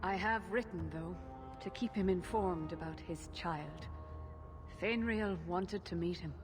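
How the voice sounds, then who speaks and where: A woman's voice: on the low side, a little slow, over music, lightly acted. An actress playing an anxious elven mother who pleads earnestly for help finding her son, in a game cutscene.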